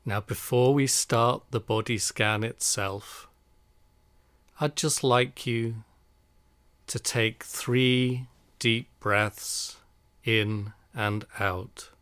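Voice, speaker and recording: A man guiding a relaxation meditation in a calm, soothing, unhurried manner. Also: mid-range voice, clean tone, no room sound. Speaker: meditation guide.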